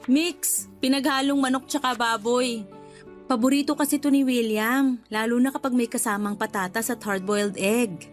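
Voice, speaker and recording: A woman's voice: a little high, steady tone, moderate pace, faint music behind, lightly acted. A woman acting in a Tagalog radio drama as a married homeowner who nags and lectures her older brother. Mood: cheerful. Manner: nagging, preachy, emphatic.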